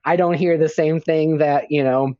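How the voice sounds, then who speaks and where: A man's voice: a little high, steady tone, normal speed, dark tone. A man co-hosting a podcast in casual chat, talking hesitantly with lots of fillers and restarts. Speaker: podcast co-host. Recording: dull lo-fi audio.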